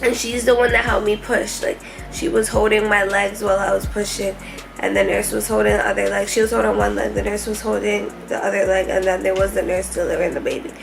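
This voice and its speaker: A woman's voice: mid-range voice, level tone, brisk, gravelly, music in the background. A young woman vlogging casually and candidly from a hospital room, laughing often, tired and stuffy-nosed.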